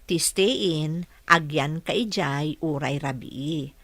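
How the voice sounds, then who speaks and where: An actor playing an earnest young single mother looking for work in a radio drama, speaking gently, patiently and politely. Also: low voice, slow, dry recording, heavily acted. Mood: gentle, reassuring.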